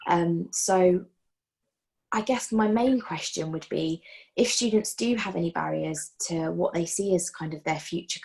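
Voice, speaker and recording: A woman hosts an online careers panel in a low voice with a gravelly edge. She asks the guests questions and affirms each answer in an encouraging way. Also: a little mellow. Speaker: host. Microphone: video call.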